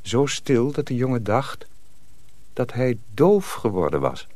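A man narrating a dark folk tale for a radio drama, measured and solemn. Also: medium pitch, big pitch swings, no echo. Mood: suspenseful. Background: music.

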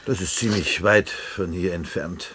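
An actor playing a weary, resigned outpost chief on a dying Earth in a 1970s German sci-fi radio drama. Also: male voice, sits low, a little slow, a bit bright, a little hiss or hum. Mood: weary, calm.

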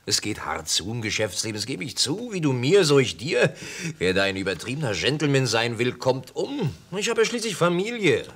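A man's voice: medium pitch, big pitch swings, a little fast, few pauses, fairly bright tone, husky, full character voice. An actor playing a middle-aged travelling salesman in a radio play, naive, hearty and self-satisfied. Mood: boastful, breezy. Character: jovial, boastful travelling textile salesman, naive and sentimental. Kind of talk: German radio play acting.

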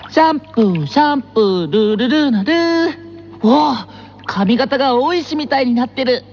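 A playful bath-time radio character, sings and chatters through a shampoo. The voice sits high, on a muffled recording with clear music underneath. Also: female voice, animated, normal speed.

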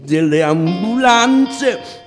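A man playing a sinister older politician in a radio drama, speaking haltingly and deliberately with murmured pauses. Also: high-pitched, lively intonation, exaggerated voice. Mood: coldly ruthless. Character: sinister older male politician advising brutal repression. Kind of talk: theatrical radio monologue. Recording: long reverb, faint music behind.

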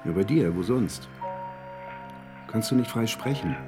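A man's voice: on the low side, animated, measured pace, music in the background. An actor playing a harried, irritable male mathematician in a German radio drama, given to exasperated muttering and lecturing. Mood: puzzled.

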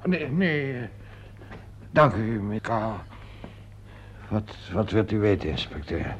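An actor playing a drunk, distraught theatrical impresario in a radio play, blustering and emphatic. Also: male voice, lowish voice, big pitch swings, slow pace, muffled recording, a little hiss or hum. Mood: bitter, defiant.

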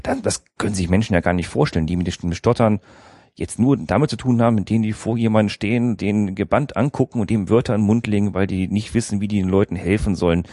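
A man's voice: on the low side, fast, warm tone, dry recording, studio quality. A man hosting an interview, talking in a conversational and reflective way and asking leading questions. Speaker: podcast host.

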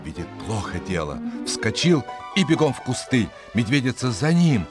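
A man reading a children's animal story in a dramatic, playful storytelling manner, with shifting emphasis and pauses. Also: medium pitch, very animated, over music. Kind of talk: expressive scripted storytelling for children. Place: studio.

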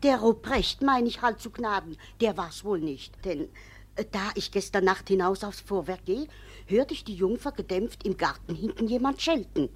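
An actress playing a gossipy village woman in a 1950s radio play, telling a tale vividly, with a husky voice. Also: medium pitch, lively intonation, normal speed. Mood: eager telling. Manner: breathless, vivid storytelling.

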